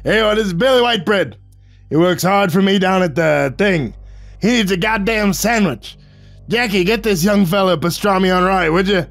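A man playing a booming gangster boss. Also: normal speed, creaky, a bit bright. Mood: boisterous. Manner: booming, chummy, street slang. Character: big jovial foul-mouthed male gangster boss. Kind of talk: audiobook character voice. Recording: music behind the voice.

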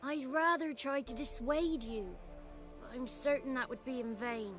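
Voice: high-pitched, steady tone, measured pace, a bit bright, soft background music. An actor voicing a small, cheeky, childlike male godling in a video game, chatty and rustic. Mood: resigned, exasperated. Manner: chatty, rustic old-fashioned turns of phrase. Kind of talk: video game character acting.

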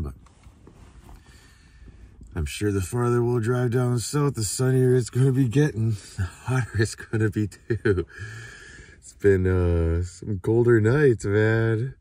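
A man in his thirties talking casually to a handheld camera about his travels, chatty and relaxed, full of fillers. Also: on the low side. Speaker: travel vlogger. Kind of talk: casual vlog talk to camera. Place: inside an SUV and outdoors by a coastal beach. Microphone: handheld phone or camera at arm's length.

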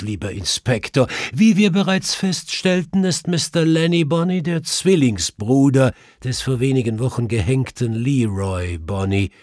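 A man's voice: medium pitch, a little mellow, no echo, studio quality, pitched above the performer's own voice, close to an everyday voice. A man voicing a clever, self-assured male detective who explains things precisely in an audiobook. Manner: precise, explanatory, faintly mischievous.

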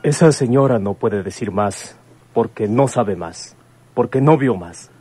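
An actor playing a commissioner's grown son in a radionovela, sober and courteous. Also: male voice, lowish voice, lively intonation, a little slow, mellow, slightly rough. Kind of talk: radionovela acting. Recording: faint vehicle noise behind.

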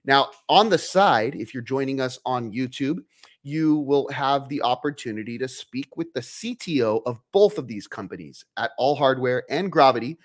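Energetic male streamer host, 30s, nasal from a cold, studio mic, home workshop. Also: mid-range voice, moderate pace. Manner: upbeat, energetic, gestures while talking. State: stuffy nose from a cold. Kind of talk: live stream hosting. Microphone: headphones and studio mic on boom.

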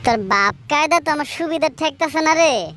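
A woman's voice: high voice, lively intonation, normal speed, fairly bright tone, a little hiss or hum. A woman voicing a protective, easily outraged old village grandmother in a comic cartoon, nagging indignantly with a Bangladeshi accent. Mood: suspicious. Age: older.

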